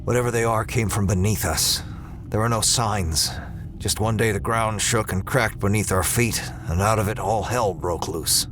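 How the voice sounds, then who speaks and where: An actor playing a grim, weary, commanding space ranger in a sci-fi audio drama, with stern, measured delivery. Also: male voice, on the low side, background noise, lightly acted. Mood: gruff, guarded.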